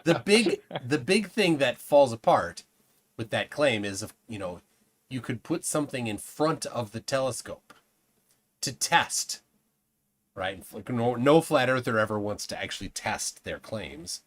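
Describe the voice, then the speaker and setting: A man's voice: mid-range voice, animated, unhurried. A man in a casual group chat, explaining his point with wry, offhand asides. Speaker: panelist.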